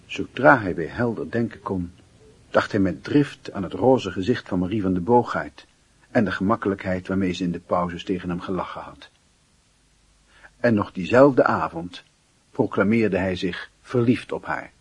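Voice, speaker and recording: An actor as a reflective narrator, reading literary narration for a Dutch radio play in a husky voice. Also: male voice, low-pitched, moderate pace, no echo.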